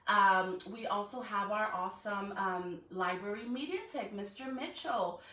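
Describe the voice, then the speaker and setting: A woman's voice: medium pitch, unhurried, pushed and bright, recorded from a distance. A woman hosting a school read-aloud, talking to young children in an encouraging way with frequent check-in questions. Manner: encouraging, checks in often with rhetorical questions and "right?". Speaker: elementary school staff member hosting a read-aloud for students. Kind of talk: addressing children on a live stream, then reading a storybook. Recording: roomy echo, away from the mic.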